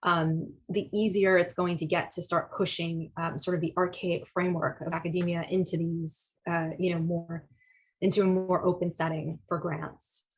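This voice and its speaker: A woman's voice: on the low side, flat delivery, moderate pace, low-quality recording. A woman artist answering audience questions at a talk, thoughtful and hesitant, with many fillers and restarts. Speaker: guest speaker, artist.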